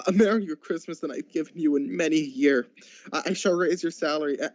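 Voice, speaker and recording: A man playing a giddy, generous reformed old miser in a radio play, stammering a little in excitement. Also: sits high, no room sound. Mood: joyful, generous. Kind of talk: school radio drama acting. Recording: dull lo-fi home recording.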